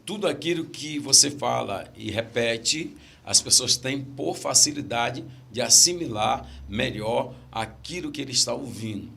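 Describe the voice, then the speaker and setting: Stammering Brazilian pastor, gravelly voice. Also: male voice, medium pitch, animated, measured pace, sharp tone, slight background noise. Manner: repeats phrases, laughs at own stories. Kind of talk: casual podcast chat, storytelling.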